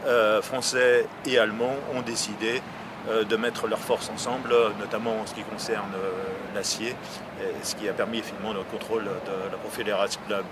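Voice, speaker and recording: An older man with a fairly low voice gives unscripted interview answers. He is earnest and hesitant, repeating words as he searches for phrasing. Clear traffic and outdoor noise runs behind him. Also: flat delivery, moderate pace. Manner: earnest, hesitant, with frequent word repetitions. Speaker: local elected representative being interviewed. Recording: noisy outdoor recording with traffic ambience.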